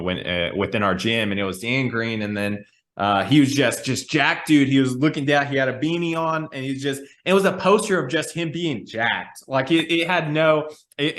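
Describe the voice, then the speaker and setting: A man's voice: medium pitch, a bit bright. Casual, reflective male podcast host. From small town. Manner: rambling, reflective storytelling.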